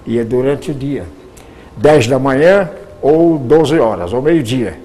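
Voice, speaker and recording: An older Brazilian man, a veteran radio actor, telling stories in an unhurried way with pauses and restarts as an interview guest. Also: medium pitch, lively intonation, dark tone, low-quality recording. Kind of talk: reminiscing interview answers.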